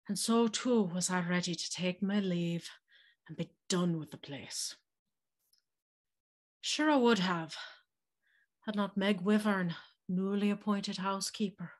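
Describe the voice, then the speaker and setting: An actress playing an older housekeeper telling her eerie story in an audio drama, hushed and reflective. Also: medium pitch, lightly acted.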